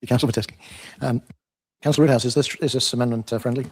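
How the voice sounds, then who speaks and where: A man's voice: medium pitch, steady tone, very fast, a little mellow, breathy edge. A man chairing a council meeting, hesitantly working through procedure in the chamber.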